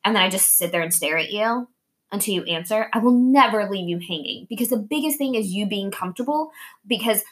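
A woman's voice: mid-range voice, brisk. The woman at the kitchen table who tells it straight with plenty of swearing, playing both sides of every conversation she describes, as she does hosting her podcast. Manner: blunt, swearing freely; animated, acting out imagined dialogue. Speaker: podcast host. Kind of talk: casual, candid conversation.

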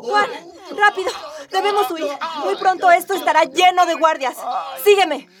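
A woman's voice: very high voice, moderate pace. A woman playing a bold young rebel heroine, emphatic and melodramatic in a radio drama. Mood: urgent. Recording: music and crowd noise behind.

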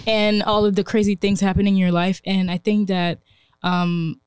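A woman's voice: mid-range voice, level tone, moderate pace, darkish tone, clear, resonant tone, no echo. A young woman in her twenties hosting a casual podcast, talking in an earnest, reflective way with many fillers. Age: 20s. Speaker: podcast host.

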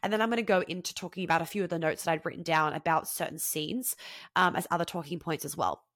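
A young woman hosting her own podcast in casual solo chat, blunt and animated, swearing freely and sounding congested from a head cold. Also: fairly low pitch, fast talker, no echo, clean studio sound. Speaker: podcast host.

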